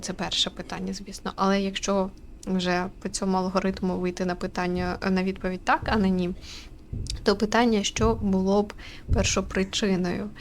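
A woman with a mid-pitched voice comments casually and thoughtfully on a game as it is played. The recording has clear background noise.

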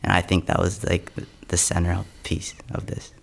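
A man's voice: deep voice, monotone, normal speed, creaky, in a whisper. A young man from the United States, a spoken word poet, recorded close on a studio microphone. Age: young adult. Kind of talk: spoken word poetry and interview answers. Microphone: close studio condenser microphone.

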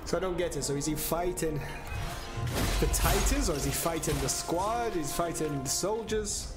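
A young man reacting excitedly and swearing casually while playing, on a headset mic. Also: fairly high pitch, normal speed. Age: young adult. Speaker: gaming streamer. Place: small room at home. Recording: voice over loud game music.

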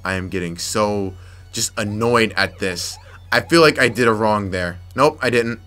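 Young male let's-player, raspy from yelling, bright voice, faint game music underneath. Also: on the low side, a little slow. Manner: frustrated, muttering to himself, sudden yelps. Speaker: gameplay commentator. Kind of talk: let's play commentary.

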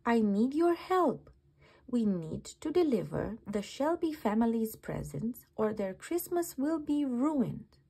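Small kind-hearted slug hero for a picture book played by a woman: bright-eyed eagerness, high pitch, bouncy earnest delivery. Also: slow, creaky, breathy edge, heavily acted. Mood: eager, confident. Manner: excitable, earnest, exclamatory.

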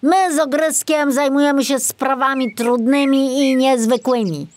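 A woman's voice: high voice, steady tone, a little slow, pitched above the performer's own voice. A woman voicing a small male forest sprite in a storybook, formal, polite and touchy, given to admonishing.

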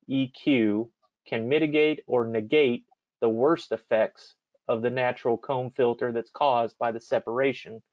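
A man's voice: medium pitch, no echo, highs cut off. A man moderating an informal webinar and relaying questions from the audience.

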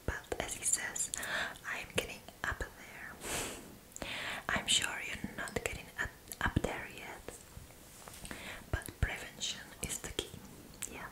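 A woman in her twenties speaking in a breathy, gentle whisper, soothing the listener in an ASMR roleplay and softly repeating words. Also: slow pace, pauses often, low-quality recording. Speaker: ASMR creator in a roommate roleplay. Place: small indoor room.

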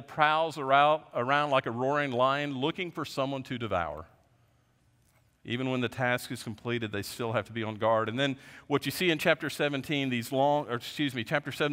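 A pastor in his fifties preaches from a lectern on a big church stage. He is earnest and often lets his voice sink low and quiet to close a point. His ordinary, middle-range voice carries a long reverb from the large sanctuary. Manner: earnest, gestures widely, voice drops low at ends of points. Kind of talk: sermon.

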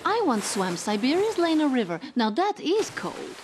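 A woman's voice: high voice, very animated. A haughty Soviet sniper in a video game. She has a Russian accent and condescending, swooping delivery, with faint noise behind. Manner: condescending, drawn-out. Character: haughty, boastful female Soviet commando sniper.

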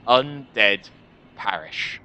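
A man in his twenties reading a first-person story aloud in an expressive, deliberate, dramatic style. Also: lowish voice, clean tone, lo-fi sound. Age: 20s. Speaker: video creator reading a visual novel. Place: small room at home, indoors.

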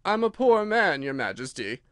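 A wretched, nervous little tradesman from a storybook, played by a male reader. The voice trembles and sits higher than normal talk, halting and apologetic as it pleads its case. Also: very animated, a bit bright, no echo, clean studio sound. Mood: nervous, pleading. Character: poor, nervous, miserable hatter pleading before royalty. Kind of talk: storybook character reading.

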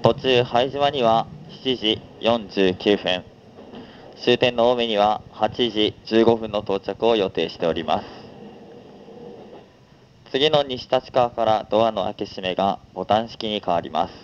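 Low-voiced man announcing on a train. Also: a little slow. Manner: polite, formal. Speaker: train conductor. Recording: dull onboard PA with faint noise.

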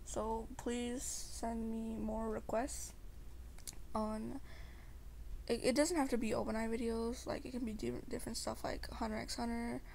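A woman's voice: a little high, little pitch movement, measured pace, gravelly, darkish tone, slight background noise. A young woman chatting casually to her viewers in a slangy, rambling way. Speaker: amateur ASMR creator.

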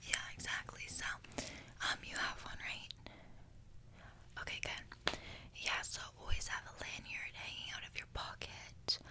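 A woman's voice: a little slow, pauses often, close to an everyday voice. Young woman playing a smug whispering hype beast. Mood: casual, instructive. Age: young adult. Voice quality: soft breathy whisper. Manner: casual, bragging, filler-heavy drawl. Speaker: ASMR creator. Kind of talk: ASMR roleplay, whispered. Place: small bedroom, indoors. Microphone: close desk microphone.

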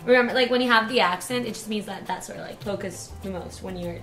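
A young woman from South America with a South American Spanish accent models Spanish words slowly and patiently, laughing often. Her voice sits mid-range and sounds roomy, as if in a living room. Faint music plays beneath. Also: mid-range voice, fairly bright tone. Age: young adult. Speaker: Spanish tutor. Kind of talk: casual teaching chat. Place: living room, seated on a couch. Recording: roomy home recording with music.

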